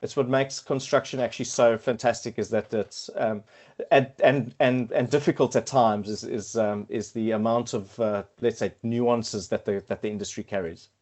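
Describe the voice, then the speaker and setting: A middle-aged man speaks with a South African accent in a casual podcast chat. His voice sits in an ordinary middle pitch. He hesitates often, repeating words and filling gaps with ums and uhs as he thinks aloud. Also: fairly even intonation, moderate pace, darkish tone. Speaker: podcast guest, quantity surveyor.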